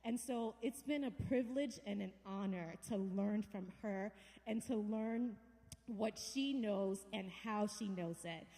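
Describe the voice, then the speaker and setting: A woman's voice: mid-range voice, a little slow, warm tone. A woman hosting a church event, earnestly and gratefully introducing her guest speaker. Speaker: event host. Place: large church hall. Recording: long reverb of a big church hall.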